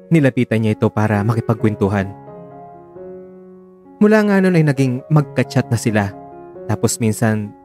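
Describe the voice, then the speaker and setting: A Filipino male narrator reads a radio drama story in a fairly low voice. Piano music plays behind his scripted narration. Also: darkish tone.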